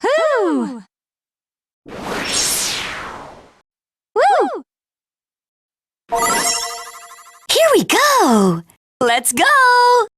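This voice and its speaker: A woman voicing a bright, eager young heroine for a video game, in short exclamations and cheers. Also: very high-pitched, wildly swooping pitch, drawn-out words, background noise, exaggerated voice. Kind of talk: video game character voice clips.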